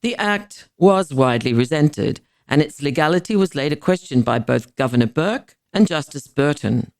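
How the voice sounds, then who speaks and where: A woman reads a scripted historical documentary in a measured, formal, deliberate way. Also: deep voice, expressive, a little mellow, no room sound, clean studio sound. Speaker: podcast narrator.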